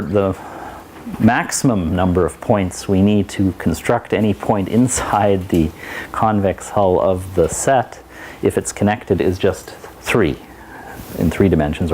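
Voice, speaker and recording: Male lecturer, very low voice, digressive and restarting often; faint hiss behind him. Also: normal speed, a little mellow. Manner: digressive, many restarts and asides. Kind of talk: university lecture. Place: lecture hall.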